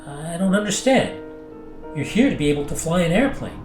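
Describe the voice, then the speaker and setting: Man acting as male pilot, earnest, rattled, defensive, halting speech, audio drama, roomy sound, faint music behind. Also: on the high side, expressive, a little mellow, lightly acted. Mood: puzzled. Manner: halting, indignant, easily rattled. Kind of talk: naturalistic audio drama dialogue.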